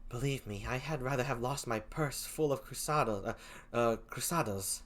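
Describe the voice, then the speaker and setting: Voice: mid-range voice, moderate pace, a bit bright, voice pushed down, close to an everyday voice. A reader voicing Desdemona as an earnest, pleading young noblewoman in a play read aloud.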